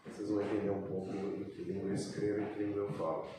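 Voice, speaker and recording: A man from Brazil, a poet on a literary panel, telling a story in a measured, narrative way, recorded from a distance in an echoey room. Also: lowish voice, little pitch movement, background noise.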